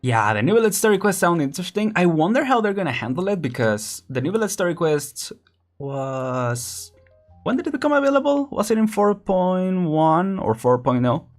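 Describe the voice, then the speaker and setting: A man's voice: high voice, very animated, moderate pace, faint music behind. A man casually commenting while streaming a game, rambling and laughing often.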